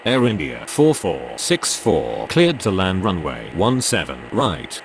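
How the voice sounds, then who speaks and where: Gravelly low actor as male airport controller. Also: wildly swooping pitch, takes it slowly, background noise, exaggerated voice. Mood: official. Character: male airport radio operator, brisk and official. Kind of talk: clipped aviation radio phraseology.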